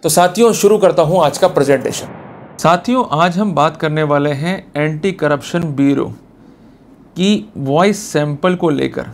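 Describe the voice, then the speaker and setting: An Indian man with a notably high voice presents a legal lecture. He speaks directly to the listener in an emphatic, exhorting way, posing rhetorical questions and mixing in English terms. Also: measured pace. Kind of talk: motivational legal lecture in colloquial Hindi mixed with English terms.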